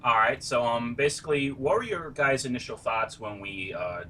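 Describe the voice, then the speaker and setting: A man hosting a podcast in an upbeat, chatty way, a little off the mic with a faint rumble behind. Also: animated, normal speed, sharp tone. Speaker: podcast host. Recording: slightly distant mic with faint background rumble.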